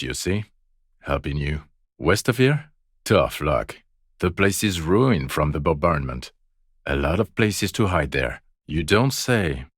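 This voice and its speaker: An actor playing a world-weary, fed-up police detective in a video game, profane and sneering, with deadpan sarcasm. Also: male voice, sits low, no echo, studio quality, full character voice. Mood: dismissive, sarcastic.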